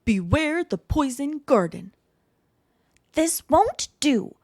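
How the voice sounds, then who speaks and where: A woman's voice: sits high, sing-song, slow pace, a little mellow, no room sound, clean studio sound, full character voice. A woman voicing a pompous, disapproving male colonel who presides over a club in a children's storybook, with an officious, bellowing delivery. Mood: stern, indignant.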